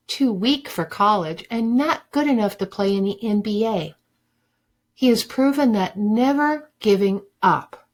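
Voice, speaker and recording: A woman reading a children's book aloud like a teacher, with deliberate, emphatic phrasing for young listeners. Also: mid-range voice. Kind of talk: expressive storybook read-aloud.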